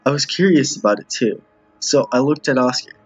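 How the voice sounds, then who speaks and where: A man's voice: mid-range voice, expressive, a little fast, a little hiss or hum. A man giving casual game commentary as a streamer, reading dialogue aloud and often stumbling over or repeating lines. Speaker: streamer playing a visual novel with viewers. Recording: muffled, roomy home recording.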